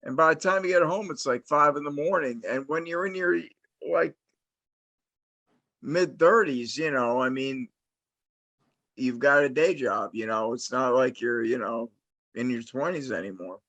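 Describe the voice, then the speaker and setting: A middle-aged man from New Jersey talks slowly and at an easy, unhurried pace. He rambles through his stories, full of restarts and fillers. His voice sounds dry and close, with no room in it. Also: medium pitch, fairly bright tone, no echo, highs cut off. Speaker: host, interviewer. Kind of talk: casual interview chat, long storytelling.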